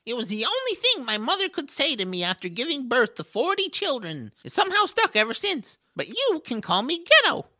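A man voicing a boastful little male rodent adventurer in a family audio drama, squeaky and raspy, with a showy, excitable manner. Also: a little fast, hardly any pauses, heavily acted. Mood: chatty, proud.

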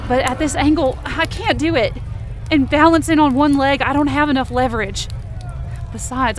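A woman acts out a determined, desperate young female rescuer in a supernatural fiction podcast. Her voice sits somewhat high. She narrates in the present tense with intense, emotive urgency. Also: fairly bright tone. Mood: frantic, frustrated. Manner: intense, emotive, swearing under strain. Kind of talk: dramatic first-person present-tense audio drama narration.

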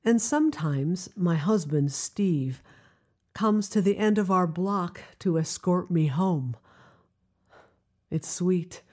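A middle-aged woman telling a scripted personal story in a confiding, wry manner with deliberate pauses. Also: fairly low pitch, darkish tone. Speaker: writer and narrator of a personal audio drama.

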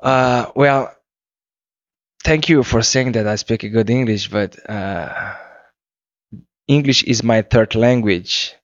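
A man from Cape Verde whose first language is Cape Verdean Creole, answering radio interview questions in English and often pausing and restarting as he searches for words. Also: medium pitch, no room sound, highs cut off. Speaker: singer-songwriter guest.

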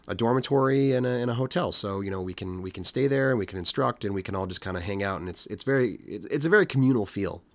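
A man in his forties, hoarse from a cold, hosting a podcast in relaxed, rambling talk full of fillers. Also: mid-range voice, no room sound. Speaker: podcast host.

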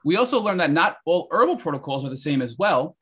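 Podcast cohost guy rattling off a recap, quick and a bit husky, slightly high. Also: very animated, a bit bright. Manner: brisk read recap. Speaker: podcast co-host. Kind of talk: scripted episode recap.

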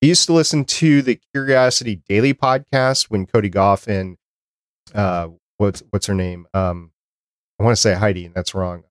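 A man's voice: lowish voice, normal speed, dry recording, clean studio sound. A man hosting a podcast, chatting casually as he interviews a guest.

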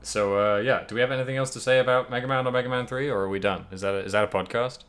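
A man's voice: mid-range voice, steady tone, fast, fairly bright tone. A man co-hosting a podcast, chatting casually about video games. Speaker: podcast co-host.

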